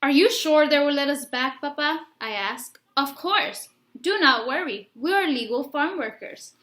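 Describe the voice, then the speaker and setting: A woman with a high, bright voice reads a children's story aloud in a roomy, echoey space. She is expressive and instructive, speaking straight to her young listeners as a teacher does. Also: unhurried. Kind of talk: picture-book read-aloud with questions to young listeners. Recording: roomy, echoey room sound.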